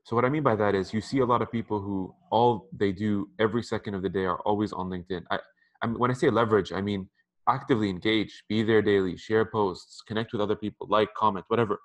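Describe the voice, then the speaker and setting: A man in his thirties talks quickly over a video call from a room at home. His voice sits a little low. He speaks conversationally and repeats words as he goes. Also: fairly even intonation. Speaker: interview guest. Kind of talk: casual advice on a video call. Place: apartment room by a window. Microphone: headphones on a video call.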